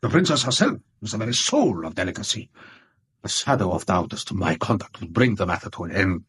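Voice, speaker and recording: Here is a proud European king in a radio drama, his low voice heavily roughened and husky. He speaks formally and gravely, imperious but with anxious desperation beneath it. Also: very animated, fairly quick, a bit bright, exaggerated voice. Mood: solemn, fearful. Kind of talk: British radio drama acting.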